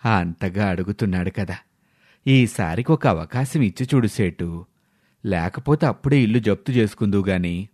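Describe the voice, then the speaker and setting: A man's voice: on the low side, expressive, normal speed, darkish tone, no echo, clean studio sound, pitched below the performer's own voice. A man voicing a reasonable, fair old village elder within a narrated story. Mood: persuasive. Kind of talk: storybook character voice.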